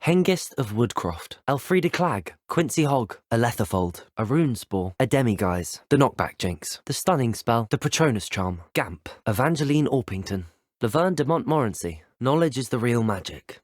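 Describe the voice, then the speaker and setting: An earnest teenage wizard student , played by a man, with a lively, moving pitch. He is polite and thoughtful, speaking in well-formed game dialogue. The sound is dry and close, with no room around it. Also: no room sound, close to an everyday voice. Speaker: video game player character. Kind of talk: scripted game voice lines.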